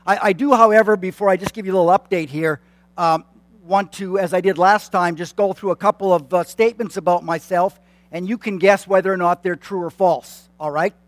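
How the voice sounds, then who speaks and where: Man in his late fifties, high and dry. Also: fairly even intonation, fairly quick, no room sound. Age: 50s. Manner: friendly, self-deprecating, many fillers and restarts. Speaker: church consultant. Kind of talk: announcements to a congregation. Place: church sanctuary.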